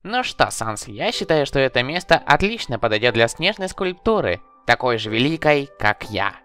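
A boastful yet earnest skeleton performed by a man in a comic dub. He declaims grandly with big swings of intonation around a middling pitch. Soft music sits underneath. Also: sing-song, normal speed, clear, resonant tone. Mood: proud, eager. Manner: pompous, grandiose, formal phrasing. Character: boastful, naive, earnest male skeleton brother, theatrically proud. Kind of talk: theatrical comic dub voice acting.

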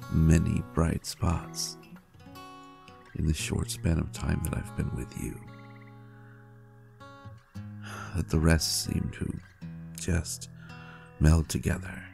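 A man playing an ancient, devoted vampire lover in an intimate roleplay audio, tender and melodramatic, speaking softly and haltingly. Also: rumbling low, monotone, creaky, music in the background, full character voice. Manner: halting, soft, sincere, unhurried.

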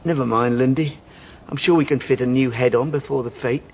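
A man's voice: mid-range voice, steady tone, brisk, darkish tone, lightly acted. An actor playing a genial, indulgent, encouraging village man in a naturalistic radio soap.

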